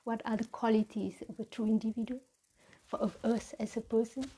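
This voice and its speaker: A woman with a Vietnamese accent giving a reflective mindfulness talk as a meditation teacher, with halting, deliberate phrasing. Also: a little high, level tone, warm tone, slight background noise.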